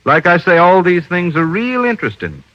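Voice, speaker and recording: Folksy, bright-voiced sheriff. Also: male voice, sits high, sing-song, no echo, full character voice. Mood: folksy, smug. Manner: folksy, unhurried, drawling. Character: folksy small-town American sheriff, easygoing and skeptical. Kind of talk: old-time radio detective drama acting. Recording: muffled old radio recording.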